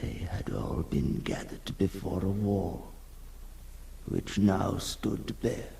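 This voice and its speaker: A man playing an anguished ghoul in a theatrical 1960s radio horror monologue, halting between whispers and cries. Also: low voice, creaky, mellow, exaggerated voice. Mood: hushed dread. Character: anguished male ghoul recoiling from his own monstrous reflection. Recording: noisy old 1960s radio recording.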